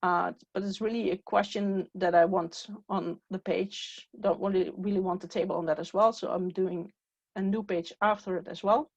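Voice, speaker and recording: A woman whose first language is Dutch, giving a step-by-step software training walkthrough with frequent "uh" fillers. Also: medium pitch, fairly even intonation, moderate pace, no room sound. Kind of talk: screen-share tutorial walkthrough. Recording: dull, dry, lo-fi recording.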